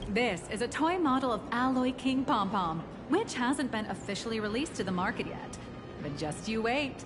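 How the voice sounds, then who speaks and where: A woman's voice: a little high, animated, normal speed, natural voice. A woman voicing a polished, persuasive corporate guide in a video game, courteous and salesy, with music behind. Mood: promotional. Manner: smooth-talking, courteous salesmanship. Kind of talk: scripted game voice acting.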